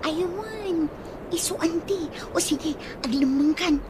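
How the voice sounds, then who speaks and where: A woman's voice: extremely high pitch, slow. A woman playing a perky, cheeky young niece in a radio comedy drama, speaking with an Ilocano accent. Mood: cheeky, coaxing. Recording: noisy audio with birds.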